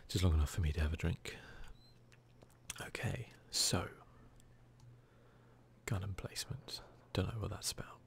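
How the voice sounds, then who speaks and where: Casting: male gaming host for ASMR playthrough, hushed whisper with heavy vocal fry, unhurried idle musing. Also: low-pitched. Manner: relaxed, idle musing to himself and viewers. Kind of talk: whispered ASMR gameplay commentary. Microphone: close microphone.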